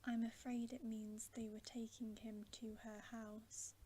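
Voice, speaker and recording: A woman whispering the part of a gloomy, knowing old male beaver in a storybook, in slow, homely speech. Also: fairly high pitch, flat delivery, dark tone, slight background noise, natural voice.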